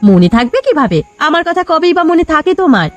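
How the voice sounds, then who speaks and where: A woman's voice: high voice, sing-song, fast talker, faint music behind. A woman voicing a sulky, nagging village wife in a cartoon folk tale, scolding and pouting. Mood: sulky, demanding.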